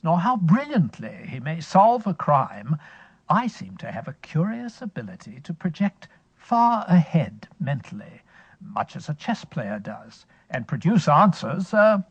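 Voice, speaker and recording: A wry, sedentary elder brother, amused and leisurely, with a lively, rising and falling delivery, fairly high for a man. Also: measured pace, slightly rough, no echo, lo-fi sound, full character voice. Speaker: series host in character. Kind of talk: scripted radio-style drama monologue.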